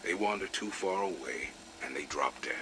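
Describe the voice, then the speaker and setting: A man playing a grizzled, blunt veteran security chief in a game audio log, with a gravelly, breathy voice. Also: low-pitched, lively intonation, normal speed, background noise. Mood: wary, explanatory. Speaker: military security commander. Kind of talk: acted audio log briefing.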